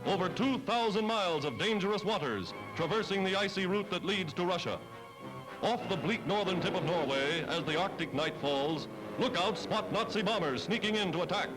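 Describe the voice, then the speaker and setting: A man's voice: high-pitched, lively intonation, moderate pace, low-quality recording. An American man narrating a scripted 1940s wartime newsreel in an emphatic, declamatory manner, on a vintage soundtrack. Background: loud-ish music under the voice.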